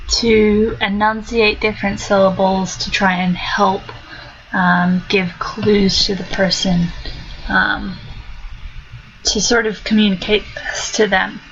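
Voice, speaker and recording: A woman's voice: mid-range voice, muffled recording, slight background noise. A woman with a blended American and Australian accent, talking casually in a monologue with many ums and restarts. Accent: mixed American-Australian. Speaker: vlogger.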